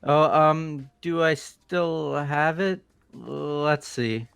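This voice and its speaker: A man's voice: a little high, extremely slow, vocal fry, a bit bright, clear, resonant tone, faint music behind, exaggerated voice. A man voicing a goofy, bumbling, evasive young character in a video game read-through, hesitant and casual. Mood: flustered, shifty. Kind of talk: hobby video game voice acting.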